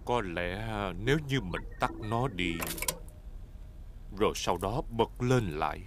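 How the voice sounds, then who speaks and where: A man's voice: lowish voice, lively intonation, slow, very noisy. A man voicing a good-natured, hesitant father pig who hums and haws in a children's cartoon dub. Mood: unsure, hesitant. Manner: hesitant, humming and hawing. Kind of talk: Vietnamese-dubbed preschool cartoon acting. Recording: loud cartoon music behind.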